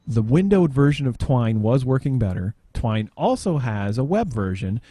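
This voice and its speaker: Guy doing a casual software demo, mellow dry sound, kinda halting, thinks out loud. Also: mid-range voice, big pitch swings, dry recording. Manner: halting, tangential, thinking aloud. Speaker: podcast panelist demonstrating software.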